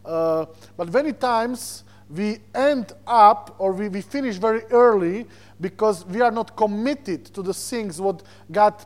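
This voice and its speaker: A man from Slovakia with a Slovak accent preaches informally through personal stories, searching for words in his second language. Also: high-pitched. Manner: halting, searching for words in non-native English. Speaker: visiting preacher.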